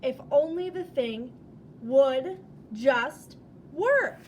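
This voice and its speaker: Woman, high-pitched, unhurried pace, children's read-aloud, expressive emphasis on key words, slight background noise. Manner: deliberate, expressive, stressing and drawing out key words.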